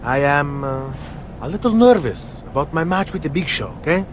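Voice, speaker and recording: A man's voice: fairly high pitch, lively intonation, moderate pace, slight background noise, lightly acted. A man playing a goofy, naive, boastful comic wrestler with a childlike, eager manner in a video game cutscene. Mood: nervous pleading.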